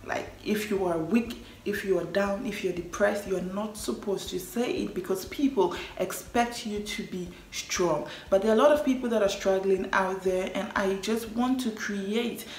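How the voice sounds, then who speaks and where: A woman's voice: mid-range voice, normal speed, hardly any pauses, darkish tone. A young woman from Liberia, chatting casually to her vlog audience about herself, often affirming with "yes". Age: young adult. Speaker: vlogger. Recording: echoey room with faint ambient noise.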